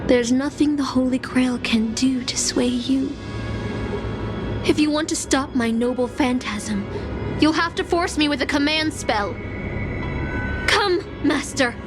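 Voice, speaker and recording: A woman playing a proud, bitter fallen singing film star in a video game, with slow theatrical delivery. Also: high voice, over music. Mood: scornful, challenging. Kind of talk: dramatic video game voice acting.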